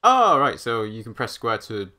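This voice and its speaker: A man commentating live on a game he plays, chatty and thinking out loud. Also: medium pitch, sing-song, normal speed. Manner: chatty, thinks out loud, hums while walking. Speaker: streamer. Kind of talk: live let's-play commentary.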